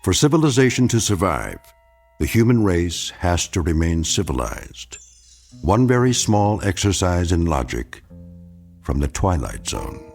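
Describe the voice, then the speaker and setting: A male actor playing a grave, measured narrator of an eerie radio anthology, solemn and portentous. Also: low voice, big pitch swings, a little mellow, exaggerated voice. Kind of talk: dramatic scripted radio narration. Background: music.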